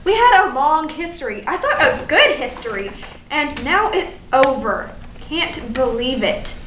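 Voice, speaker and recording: A woman's voice: sits high, very animated, unhurried, fairly bright tone, full character voice. A woman acting a frantic, melodramatic young woman who rambles and exclaims in a comedic staged reading. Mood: wounded, indignant. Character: frantic young woman agonizing over social media feud. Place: live staged reading in a room. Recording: roomy, distant mic, faint noise.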